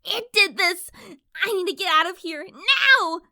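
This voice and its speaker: Young cartoon filly, frightened, pleading, stammering, very high-pitched, slow-paced. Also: female voice, lively intonation, creaky, sharp tone, full character voice. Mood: terrified, frantic. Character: frightened, frantic young filly pony, sarcastic edge. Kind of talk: acted cartoon fan fiction audio reading.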